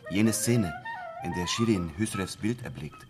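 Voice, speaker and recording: A man plays a devoted, lovesick young disciple in a literary radio drama. His voice is low and his manner deferential and earnest. Plucked guitar music sounds underneath. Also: measured pace, close to an everyday voice. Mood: earnest, pleading. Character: younger apprentice painter, respectful, lovesick newlywed, earnest.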